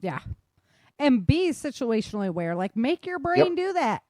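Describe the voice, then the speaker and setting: A woman's voice: high-pitched, very animated, moderate pace, dark tone, no room sound, clean studio sound. A woman hosting a podcast in casual chat, talking in an informal, slangy and self-deprecating way. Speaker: podcast host.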